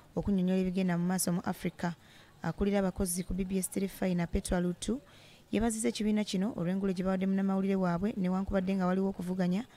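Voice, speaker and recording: A woman reading a scripted television news voice-over in a brisk, steady newsreader manner. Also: medium pitch. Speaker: news reporter.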